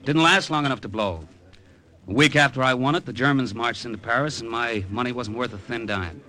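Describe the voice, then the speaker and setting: An actor playing a wry, easygoing middle-aged American gambler, speaking in a laconic 1950s radio drama style. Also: male voice, medium pitch, brisk, bright, forceful tone, lightly acted. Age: 40s. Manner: laconic, breezy, conversational. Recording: vintage radio drama recording.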